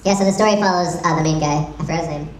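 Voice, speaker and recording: A woman's voice: low-pitched, racing. A young woman presenting informally at a fan convention panel, rambling, with giggles and casual swearing. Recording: echoey room, distant mic, audience noise. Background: audience.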